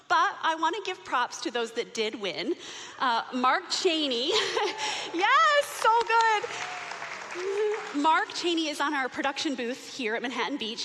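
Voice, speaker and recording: A woman pastor delivers a sermon with a bright, forceful tone and a slightly higher voice. Her storytelling turns lively and animated as she talks. The sound carries a long church-hall reverb. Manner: warm storytelling, animated when excited. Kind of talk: sermon with personal stories. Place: church sanctuary.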